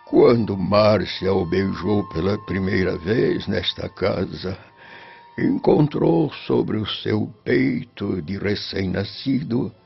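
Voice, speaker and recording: An actor playing a frail, devout old Roman father in a radio drama, solemn and tender, with a breathy, quavering voice. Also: sits low, slow pace, exaggerated voice. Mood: grave, tender. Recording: muffled lo-fi, music underneath.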